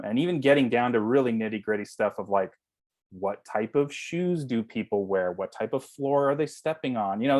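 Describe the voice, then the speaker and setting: A man with a fairly low voice answers as an interview guest. He is conversational and explanatory, speaking over a video call. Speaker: guest, writer-director.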